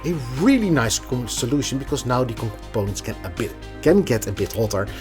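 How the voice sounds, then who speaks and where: A man's voice: medium pitch, big pitch swings, a little fast, music in the background. A man presenting a product review in a conversational, emphatic way, occasionally stumbling over a word. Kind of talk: scripted product review with casual asides.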